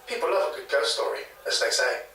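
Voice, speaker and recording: Gossipy British local man, halting delivery, echoey taped interview, distant from the mic. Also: a little high, animated, sharp tone. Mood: hesitant, chatty. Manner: halting, hedging, chatty. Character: hesitant, gossipy local man retelling a secondhand ghost story. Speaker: interviewee, former hotel worker. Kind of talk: naturalistic audio drama interview.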